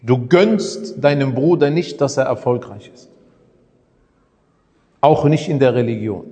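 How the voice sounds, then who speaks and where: A man's voice: mid-range voice, lively intonation, unhurried, mellow, big-room sound. A man teaching a religious lesson in a conversational way, often asking his listeners rhetorical questions. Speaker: Islamic preacher.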